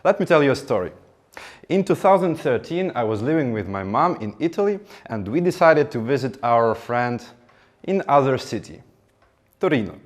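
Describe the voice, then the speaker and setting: Man, mid-pitched, telling a personal story on stage and voicing the people in it. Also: moderate pace. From Ukraine. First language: Ukrainian. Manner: animated, acts out dialogue. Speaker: speaker. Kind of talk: motivational storytelling speech.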